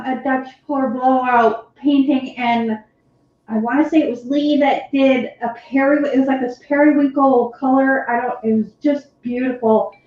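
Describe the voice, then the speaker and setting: A woman co-hosting a live painting stream, chatting in a rambling way and laughing often. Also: sits high. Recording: very echoey, dull lo-fi sound.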